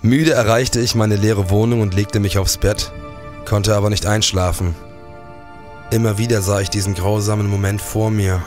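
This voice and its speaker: A man's voice: on the low side, close to an everyday voice. An actor playing a grieving, sleepless police detective in a radio drama, formal and literary in his telling. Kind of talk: radio drama acting and narration.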